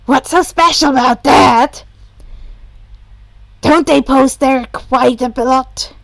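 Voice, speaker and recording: A woman's voice: high voice, takes it slowly, gravelly, a bit bright, no room sound, heavily acted. A woman voicing a casual, curious teenage boy with a direct, questioning manner.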